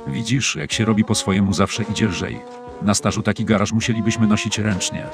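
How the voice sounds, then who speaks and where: Guy doing deadpan cartoon handyman schemer, kinda low voice, calm and fatalistic, music behind it. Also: a little fast, creaky. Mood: matter-of-fact. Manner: matter-of-fact, deadpan. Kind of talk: dubbed comic cartoon dialogue in Polish.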